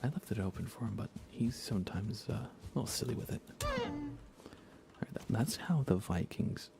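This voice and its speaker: A soft-spoken man chatting casually on an ASMR gaming livestream, relaxed and often slipping into a whisper. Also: on the low side, normal speed, vocal fry, music in the background. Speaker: livestreamer and host.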